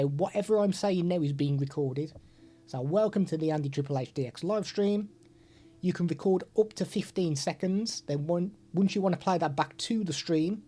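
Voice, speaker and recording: A man's voice: on the high side, dark tone. A man from the United Kingdom with a British accent, hosting a live stream and explaining a product step by step while chatting with viewers.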